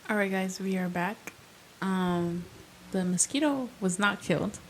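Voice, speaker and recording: A woman's voice: mid-range voice, a little slow, a little hiss or hum, poor audio. A young adult woman co-hosting a podcast in casual chat, talking with plenty of fillers and easy laughs. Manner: chatty, laughs easily, fillers like "like" and "um". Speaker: podcast co-host.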